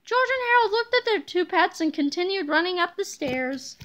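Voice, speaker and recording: Female storybook reader for children; very high pitch, bright, forceful tone. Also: expressive, moderate pace, slight background noise. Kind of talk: children's book read-aloud.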